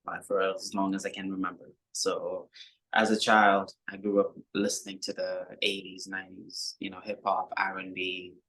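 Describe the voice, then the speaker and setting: A young man from Kenya, a musician guest, talking in a relaxed, rambling way with many fillers. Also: deep voice, low-quality recording. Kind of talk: casual interview chat.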